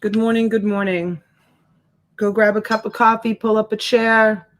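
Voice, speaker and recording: A woman's voice: mid-range voice, little pitch movement, normal speed. A woman in her forties with a slight Boston accent, hosting a casual live-stream auction from home and greeting viewers by name with endearments. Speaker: live-stream seller and host. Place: home room with shelves. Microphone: desk USB mic.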